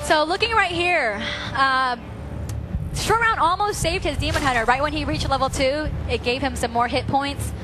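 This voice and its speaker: A woman's voice: high voice, moderate pace. A woman doing play-by-play commentary on a strategy game match, talking with frequent fillers while losing her voice. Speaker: esports caster. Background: loud music.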